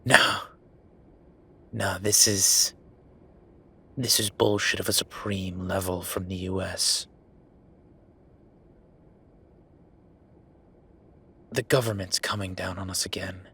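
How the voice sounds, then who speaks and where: Man acting a low, forceful, exasperated werewolf boyfriend. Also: fairly even intonation, measured pace, creaky, pauses often, breathy edge, exaggerated voice. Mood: outraged. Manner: sweary, sarcastic, venting. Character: angry, exasperated male werewolf boyfriend, bitter office worker. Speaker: audio roleplay performer. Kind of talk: dramatic boyfriend roleplay monologue.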